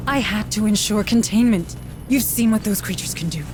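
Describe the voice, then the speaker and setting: A woman's voice: mid-range voice, normal speed, music in the background, lightly acted. A woman playing an earnest, determined colony administrator in a video game, speaking firmly and formally. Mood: guilty, subdued. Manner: urgent, firm, formal. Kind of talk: cinematic video game voice acting.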